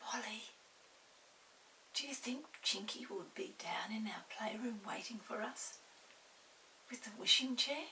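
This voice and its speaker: A woman's voice: on the high side, measured pace, pauses often, bright, forceful tone. A woman voicing an eager, earnest schoolboy with a secret in a children's storybook. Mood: earnest, secretive. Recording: hissy, distant room microphone.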